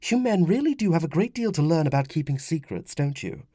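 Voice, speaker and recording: Man voicing a higher-pitched, fretful young Roman wife. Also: sing-song, brisk, no echo, clean studio sound. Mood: gently insistent. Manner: gently insistent, fussing, motherly. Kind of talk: audiobook character dialogue.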